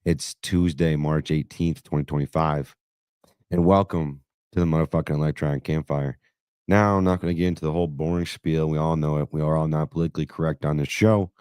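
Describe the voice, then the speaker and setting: A man hosting a podcast in brash, profane banter, his voice hoarse and raspy after being sick. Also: a bass voice, steady tone, fairly quick, a little mellow, dry recording, studio quality. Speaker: podcast host. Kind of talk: casual crude banter.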